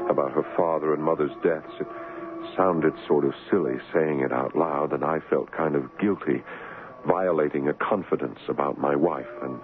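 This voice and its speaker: An actor playing a devoted, anxious husband in a 1970s radio drama, earnest and hesitant. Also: male voice, very deep voice. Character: devoted, anxious American husband, haunted in hindsight. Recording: muffled, hissy old radio broadcast.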